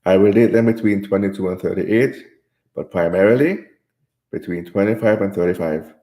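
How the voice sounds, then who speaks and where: A man's voice: low-pitched, normal speed, warm tone, roomy sound. A man from Jamaica hosting a live stream, reading viewer comments aloud and answering them in casual chat.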